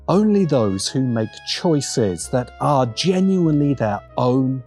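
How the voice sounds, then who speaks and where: A man narrating a scripted video essay in a deliberate, emphatic manner with pointed pauses. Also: mid-range voice, darkish tone.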